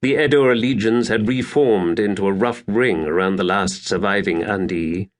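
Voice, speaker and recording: A man narrating an epic fantasy audiobook in a measured, theatrical, scripted style. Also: lowish voice.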